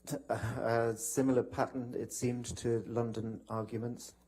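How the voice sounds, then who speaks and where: A man giving testimony as a witness in a courtroom, hesitant and measured, with halting answers. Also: mid-range voice, level tone, warm tone. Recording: noisy courtroom broadcast feed.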